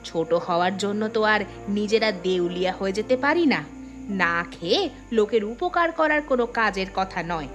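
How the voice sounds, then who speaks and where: A woman's voice: mid-range voice, expressive, brisk, lightly acted. A woman voicing a suspicious, sarcastic farmer's wife in a moral-story cartoon. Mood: suspicious, insistent. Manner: sarcastic, insistent. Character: suspicious, sharp-tongued village farmer's wife. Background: music.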